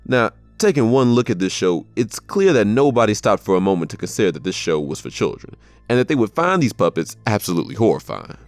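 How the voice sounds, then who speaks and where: A man's voice: fairly low pitch, big pitch swings, quick pace, no echo. A man delivering scripted narration as a video narrator. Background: background music.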